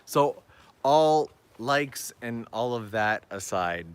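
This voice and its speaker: A man hosting a live travel stream outdoors, chatting upbeat to viewers while walking, his voice going hoarse. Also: medium pitch, animated, slow pace, a little hiss or hum. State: losing his voice. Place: outdoors on town backstreets at evening.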